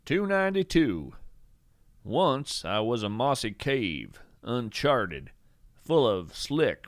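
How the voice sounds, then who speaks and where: A man playing an eccentric, earnest naturalist who hosts a scripted fiction podcast, speaking in a measured, theatrical, thoughtful way. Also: mid-range voice, fairly bright tone, no echo, clean studio sound. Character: eccentric male naturalist host, musing, earnest, whimsical. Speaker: podcast host and narrator. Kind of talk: scripted fiction podcast narration with poetry readings.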